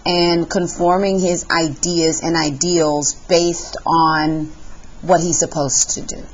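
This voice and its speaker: Low-voiced teacher leading a class discussion. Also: female voice, level tone, muffled recording. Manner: brisk, calls on students by name.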